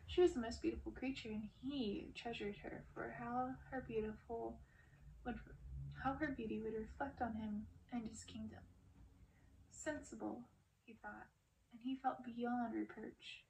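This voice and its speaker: Creaky-voiced woman reading a storybook. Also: on the high side, steady tone, measured pace, darkish tone. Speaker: narrator reading a book aloud. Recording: distant mic, faint background noise.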